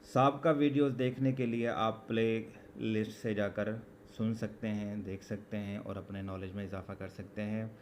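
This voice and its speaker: A man's voice: on the low side, fairly even intonation, moderate pace, hardly any pauses. A man with a Pakistani accent teaching an exam lesson as a law teacher, explaining conversationally and rephrasing point by point. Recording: background noise throughout.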